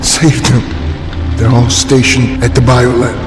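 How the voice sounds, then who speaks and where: A man playing a weary, grieving military commander in a game cartoon, pleading slowly and solemnly, with echoing reverb and loud music behind. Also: medium pitch, flat delivery, close to an everyday voice.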